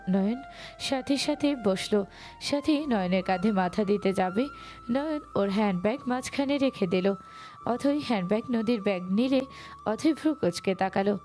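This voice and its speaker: A woman narrates a romantic story from a script. Her voice is mellow and mid-pitched, with music playing behind her. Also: lively intonation, moderate pace.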